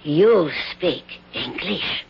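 An actress playing a female palace servant and would-be assassin who speaks broken, halting English, in a 1970s radio drama. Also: deep voice, lively intonation, vocal fry, slightly rough, full character voice. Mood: menacing. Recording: dull lo-fi 1970s radio broadcast.

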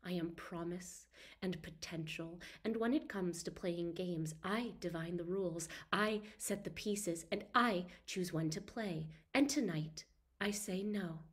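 A woman voicing a proud, ancient male god of darkness in an imperious, deliberate storybook reading. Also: fairly low pitch, husky. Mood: cold defiance.

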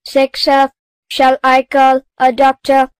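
A woman's voice: sits high, monotone, takes it slowly, smooth, dry recording. A woman voicing a small, literal-minded household robot with a formal, clipped, correcting manner in a children's cartoon. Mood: officious, flat.

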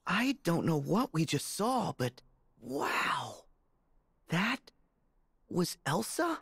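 Stubborn teen boy hero, earnest, video game, varied pitch, unhurried, plainspoken. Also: big pitch swings, creaky, a bit bright, breathy edge, no room sound, exaggerated voice. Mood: pondering. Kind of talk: video game cutscene acting.

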